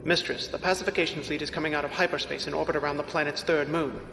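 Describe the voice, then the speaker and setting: A man's voice: fairly high pitch, fairly even intonation, fast talker, gravelly. A man playing a dutiful bridge officer in an audio drama, speaking in clipped, formal reports. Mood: dutiful, alert. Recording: echoey hall reverb, music behind.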